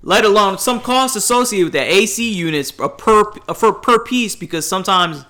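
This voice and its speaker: A man's voice: sits high, lively intonation, moderate pace, pushed and bright. A man, giving blunt advice in a casual chat, emphatic and rambling with restarts. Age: 20s.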